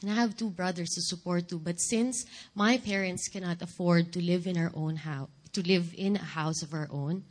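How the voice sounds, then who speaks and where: A Filipino woman speaks as a guest at a church service. Her voice sits slightly low for a woman, and her accent is Filipino. She delivers her testimony deliberately, as public speech. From Philippines. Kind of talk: church sermon and personal testimony.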